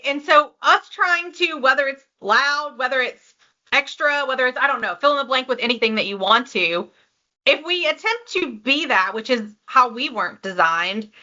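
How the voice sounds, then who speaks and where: A woman's voice: sits high, animated, normal speed, sharp tone, muffled recording. A woman co-hosting a podcast, talking in an earnest, encouraging way. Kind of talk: casual conversation.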